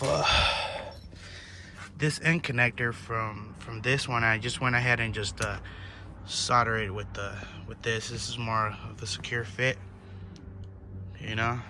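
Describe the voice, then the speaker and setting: Clear vehicle noise sits behind a man with a mid-pitched voice. He talks informally, like a DIY host walking viewers through a car job, halting often and thinking aloud as he works. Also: bright, forceful tone. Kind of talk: casual hands-on tutorial talk to viewers. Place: in or beside a car.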